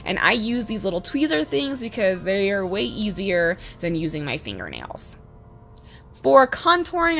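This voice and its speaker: A woman in her twenties with a slightly hoarse voice, giving a casual, chatty makeup tutorial voice-over. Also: mid-range voice, a bit bright. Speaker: beauty vlogger, host. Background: background music.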